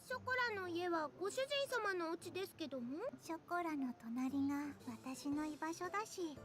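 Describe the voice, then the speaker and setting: A woman's voice: very high voice, animated, quick pace. A woman voicing a cute, playful young cat girl in an anime-style visual novel game. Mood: cutesy playful. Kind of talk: Japanese anime game voice acting. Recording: game audio under loud music. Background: game music.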